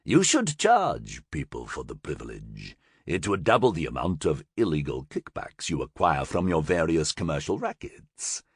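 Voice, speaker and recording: A man voicing a gurgling, fanged half-animal male monster, held prisoner and speaking in a taunting, sardonic, drawn-out way. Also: very deep voice, husky, no echo, studio quality, heavily acted.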